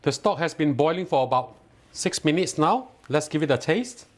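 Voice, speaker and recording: Singaporean male cooking host, somewhat high voice, patient step-by-step instruction, keen on the food. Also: animated, moderate pace. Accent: Singaporean English. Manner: instructive, step-by-step, lightly enthusiastic. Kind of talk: cooking tutorial voice-over. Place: home kitchen.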